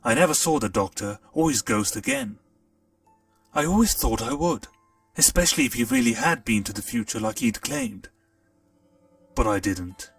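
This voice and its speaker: Gravelly man narrating a story. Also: mid-range voice, moderate pace, long pauses. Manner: expressive, storytelling delivery. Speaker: audiobook narrator. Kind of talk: first-person storybook narration. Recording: distant mic, faint music.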